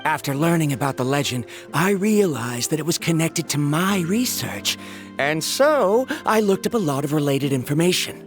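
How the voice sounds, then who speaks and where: A man's voice: fairly high pitch, animated, normal speed. An actor playing an eager young male scholar in a video game, lecturing about his theories in a pedantic way. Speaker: researcher. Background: game music.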